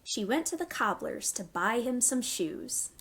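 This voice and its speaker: A woman with a fairly high voice leads a storytime for young children. She takes her time, at a very slow pace. Her phrasing is simple and instructive, suited to little listeners. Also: sing-song, a bit bright, slight background noise. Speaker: children's library storytime host.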